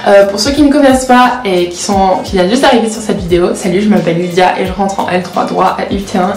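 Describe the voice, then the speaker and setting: A woman's voice: medium pitch, fast. A 19-year-old woman with Portuguese as her first language, chatting candidly in a casual vlog, self-mocking and often hesitating. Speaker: vlogger and law student. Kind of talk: casual confessional vlog chat. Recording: roomy echo.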